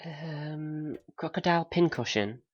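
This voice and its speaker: A man's voice: on the high side, unhurried, dull audio. A man playing a mild, doubtful listener in a naturalistic audio drama, answering in short, tentative, questioning replies. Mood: puzzled.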